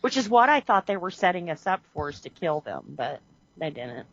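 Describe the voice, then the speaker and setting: A woman co-hosting a podcast, chatting casually about a movie, animated and quick to laugh. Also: on the low side, no room sound. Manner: animated, laughs often, acts out quotes. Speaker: podcast co-host. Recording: muffled lo-fi audio.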